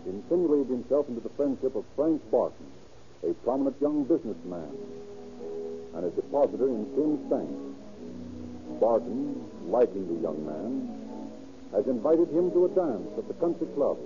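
A man's voice: mid-range voice, sing-song, moderate pace, music in the background. A man announcing and narrating a scripted 1930s radio program in a formal, declamatory way. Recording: dull, lo-fi old radio recording.

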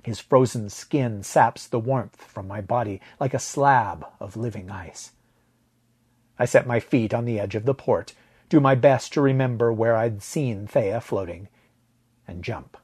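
A man with a husky voice narrating a story in a dramatic, deliberate way, letting phrase endings drop to a hush. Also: medium pitch. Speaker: audio fiction narrator.

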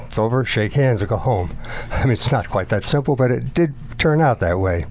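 A man in his nineties, a war veteran, recalling memories in an interview in a halting, reflective way. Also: mid-range voice, animated, darkish tone. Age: 90s.

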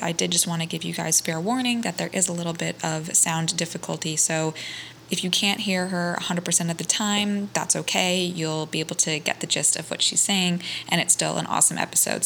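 A woman's voice: fairly low pitch, fairly even intonation, a little fast, creaky, fairly bright tone, a little hiss or hum. A young woman hosting a podcast from a small hostel kitchenette, chatty and upbeat with casual fillers. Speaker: podcast host. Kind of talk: casual podcast intro and interview.

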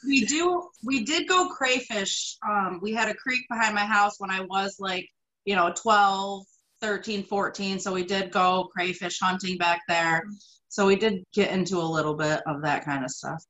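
Woman, 30s, upstate New York, relaxed chatty guest. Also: mid-range voice, moderate pace, low-quality recording. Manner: relaxed storytelling with frequent ums. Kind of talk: casual interview chat.

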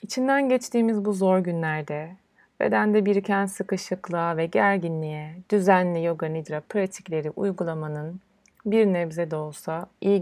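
A woman leading a guided relaxation as a yoga nidra instructor, speaking in a soothing, unhurried way with pauses between instructions. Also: lowish voice, darkish tone, no room sound.

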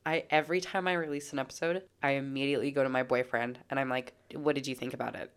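Co-host type: woman, stuffy and congested, very low-pitched voice, chatty and loose with filler words. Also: fairly even intonation, quick pace, smooth. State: congested from a sinus infection. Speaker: podcast co-host. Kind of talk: casual true-crime podcast chat.

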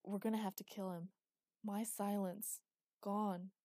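A woman voicing a grieving young woman who speaks softly but with quiet command. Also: mid-range voice, level tone, a little slow, no room sound, clean studio sound, close to an everyday voice. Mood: tender, grave.

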